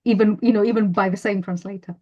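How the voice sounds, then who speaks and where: A woman's voice: mid-range voice, fairly even intonation, fast talker, muffled recording. A woman speaking as an author on a roundtable panel, thoughtful, with a few fillers. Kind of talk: academic roundtable discussion.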